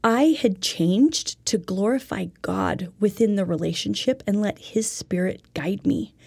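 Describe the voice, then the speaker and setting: A woman's voice: mid-range voice, measured pace, vocal fry, a little mellow, slightly rough, no echo. A woman telling a personal faith story as part of a religious lesson, in an earnest, reflective way. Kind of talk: personal testimony told as a teaching story.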